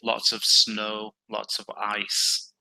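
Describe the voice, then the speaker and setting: Slow, bright-voiced man chatting. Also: lowish voice, gravelly, low-quality recording. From England. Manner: relaxed, chatty, tells stories slowly. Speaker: online English tutor. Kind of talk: casual conversation practice.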